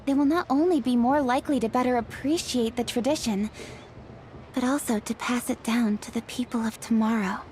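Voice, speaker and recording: An actress playing a composed, thoughtful young noblewoman in an anime-style game, speaking in a measured, formal way. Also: fairly high pitch, darkish tone, slight background noise, natural voice. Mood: earnest.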